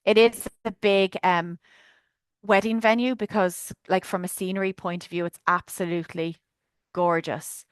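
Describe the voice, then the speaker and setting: A woman's voice: lowish voice, steady tone, normal speed, smooth, dry recording. Chatty Irish woman on a video call. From Ireland. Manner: chatty, enthusiastic, lots of fillers. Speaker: tour guide presenting a trip. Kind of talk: webinar presentation.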